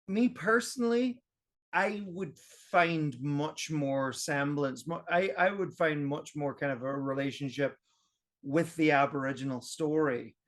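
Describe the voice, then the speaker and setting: Animated Irish man, higher-pitched voice. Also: a little slow. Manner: animated, emphatic, thinks aloud. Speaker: guest history video creator. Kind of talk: casual roundtable podcast chat.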